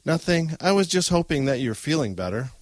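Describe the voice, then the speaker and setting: Audiobook role: teen older brother acted by a man, laid-back, skeptical, teasing, pitched a bit up. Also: big pitch swings, a little fast, no echo, studio quality. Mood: apologetic. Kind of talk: audiobook character voice.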